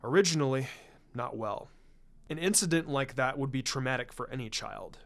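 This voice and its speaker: A man voicing a formal, proud clan patriarch and father in a story reading, speaking in a guarded, measured way. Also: medium pitch, gravelly, fairly bright tone, voice pushed down. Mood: guarded, uneasy. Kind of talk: fan fiction story reading. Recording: music under the voice.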